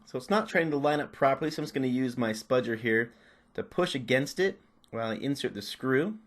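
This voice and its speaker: A man's voice: medium pitch, expressive, brisk. Man talking through a hands-on repair tutorial. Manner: unscripted, narrating while working. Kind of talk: casual step-by-step hands-on instruction.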